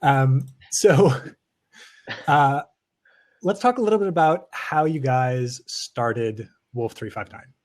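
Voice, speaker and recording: Male podcast interviewer, average pitch, casual and halting, with repeated words. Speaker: podcast co-host.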